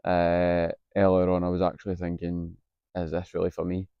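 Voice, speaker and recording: Scottish guy late 20s vlogging outside, husky and low, kinda muffled sound, lots of ums. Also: monotone, normal speed, no echo. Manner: hesitant, lots of ums, candid and reflective. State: husky from being unwell. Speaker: vlogger, university student. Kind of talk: casual vlog to camera. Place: outdoors on a campus.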